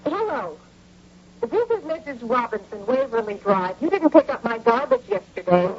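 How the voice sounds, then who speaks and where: Indignant bossy wife, high voice, lively swoops, brisk nagging delivery, vintage radio drama, faint noise. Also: female voice. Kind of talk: 1980s radio comedy-drama acting. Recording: dull, noisy old radio recording.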